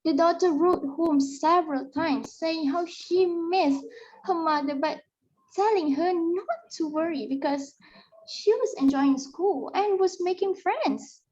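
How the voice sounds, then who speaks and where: A woman playing a storyteller who recounts a sad ghost tale in a halting, emotive dramatic reading. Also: a little mellow. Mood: sorrowful. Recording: muffled low-fidelity audio.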